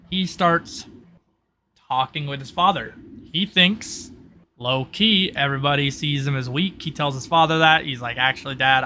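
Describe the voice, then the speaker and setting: A man's voice: fairly high pitch, sharp tone, slight background noise. A man narrating a tabletop roleplay as game master, in a casual, informal storytelling way.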